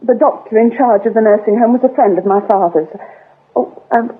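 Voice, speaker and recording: An actress playing a guarded, shaken British vicar's daughter in a radio drama, speaking in halting, subdued confession. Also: female voice, on the high side, a little hiss or hum, close to an everyday voice. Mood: subdued, anxious. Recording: muffled, phone-like old radio recording.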